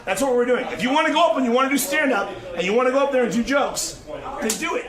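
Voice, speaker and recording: A man's voice: extremely high pitch, expressive, very fast. A man in a heated casual argument, insistent and pleading, repeating himself. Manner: insistent, repetitive, pleading, says "bro" and "dude". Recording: echoey room, loud crowd noise. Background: loud crowd.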